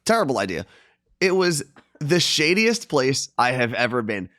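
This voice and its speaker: A man's voice: mid-range voice, very animated, normal speed, pushed and bright, no echo. Laid-back male co-host, easy hesitant chat, dry close sound. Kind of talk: casual chat. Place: staying in Florida.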